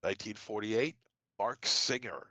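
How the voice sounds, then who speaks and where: A man with a head cold co-hosting a podcast, reading dates and film titles with quick offhand asides. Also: fairly low pitch, pushed and bright, no echo, low-quality recording. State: congested from a cold. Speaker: podcast co-host. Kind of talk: casual podcast segment reading trivia.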